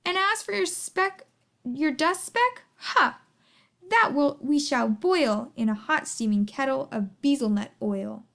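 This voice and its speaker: A woman's voice: sits high, animated, slow, gravelly, a bit bright, heavily acted. A woman voicing a big, bossy, scornful female kangaroo in a storybook, haughty and snappish. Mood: threatening.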